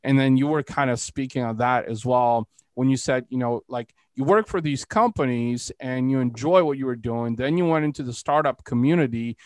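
A man's voice: mid-range voice, moderate pace, hardly any pauses, darkish tone, dry recording. A man from Eastern Europe hosting a podcast interview in a casual, rambling way, sprinkling his talk with filler phrases. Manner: rambling, frequent "you know". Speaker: podcast host, entrepreneur.

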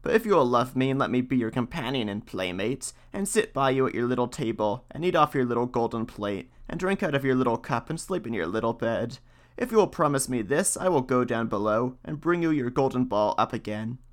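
A man voicing a fairy-tale frog, speaking slowly and measuredly in a slightly lowered voice. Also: animated, lightly acted. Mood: bargaining. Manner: slow and measured. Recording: faint guitar music behind.

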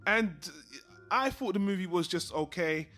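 A man hosts a casual film podcast with a blokey, informal delivery. His voice sits a little higher than most men's. Music plays faintly behind him as he talks. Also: lively intonation, unhurried, fairly bright tone. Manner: blokey, informal, swearing banter. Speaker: podcast host.

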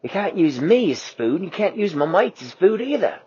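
A man doing a high, excited daredevil sailor. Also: moderate pace, breathy edge, heavily acted. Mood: cheerful. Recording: lo-fi, dull.

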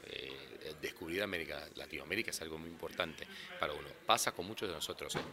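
A man's voice: a bass voice, fast talker, crowd noise. A man from Brazil, a native Portuguese speaker, talking casually in an interview about his work as an interpreter, rambling and restarting often.

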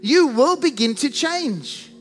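A man with a South African accent preaching a sermon, emphatic and repetitive, slowing down to stress key phrases. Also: extremely high pitch, lively intonation. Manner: emphatic, repeats strings of synonyms, stretches key phrases slowly. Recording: reverberant hall with faint music behind.

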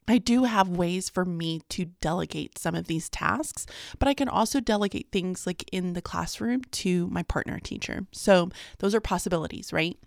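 A woman's voice: fairly low pitch, vocal fry, dry recording, clean studio sound. A woman, chatting casually in a rambling daily vlog, sounding sleepy. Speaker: vlogger.

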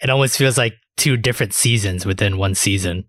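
A man with a fairly low voice chats as a podcast co-host. His phrasing is loose and colloquial, and the recording is dry with little room sound. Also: a little fast, fairly bright tone, no echo. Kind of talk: casual chat.

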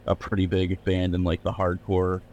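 Very low-voiced rambling man. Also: completely flat delivery, moderate pace, darkish tone, lo-fi sound, slight background noise. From Pennsylvania, USA. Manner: rambling, many "like" fillers and restarts. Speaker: record label owner, guest. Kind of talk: casual podcast interview.

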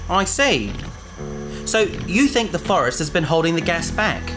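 An actor playing a bookish, curious young adventurer who talks eagerly, like a lecturer. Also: male voice, fairly high pitch, big pitch swings, moderate pace, poor audio. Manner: enthusiastic, lecturing. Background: music.

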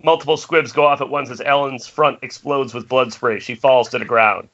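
Bright-voiced man narrating a script. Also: medium pitch, steady tone, normal speed. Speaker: narrator reading stage directions. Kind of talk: scripted narration at an amateur table read. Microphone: remote call from home. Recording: muffled internet call audio.

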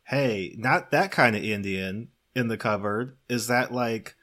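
Animated man in casual film chat. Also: mid-range voice, a little slow. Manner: animated, laughs often, acts out quotes. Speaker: podcast film commentator. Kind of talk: casual comedic film discussion.

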